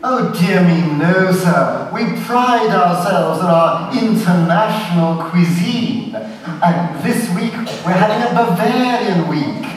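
Man doing smooth obsequious waiter, highish voice, slow, echoey hall from far off. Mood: obliging. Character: polite, sly restaurant waiter, man. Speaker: amateur actor in a stage sketch. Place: large hall stage with audience. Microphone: far camera.

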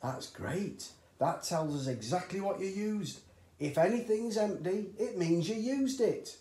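A man's voice: sits high, very animated, mellow, pitched above the performer's own voice, exaggerated voice. A man voicing an overexcited middle-aged father who bellows and gushes over his schemes. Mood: excited. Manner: bellowing, gushing, drawn-out exclamations. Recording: roomy echoey home recording.

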